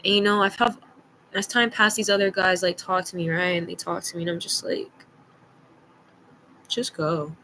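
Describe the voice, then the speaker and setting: Young woman doing a storytime vlog, bright punchy voice, blunt n slangy, bit of background noise. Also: fairly low pitch, level tone, normal speed, long pauses, low-quality recording. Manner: blunt, slangy, emphatic, acts out dialogue. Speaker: storytime vlogger. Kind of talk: casual personal storytelling.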